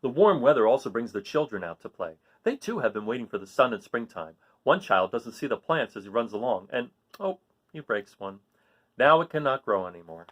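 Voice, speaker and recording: A man reading a children's picture book aloud, with dramatic, drawn-out emphasis on key words. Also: mid-range voice, sing-song, a bit bright. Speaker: storybook reader reading aloud.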